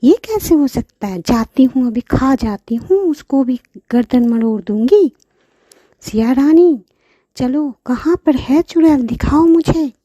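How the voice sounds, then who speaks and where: A woman voicing a menacing, boastful old witch granny in a children's cartoon story, with cackles and drawn-out threats. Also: high voice, animated, dark tone, no echo, voice pushed up. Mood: indignant.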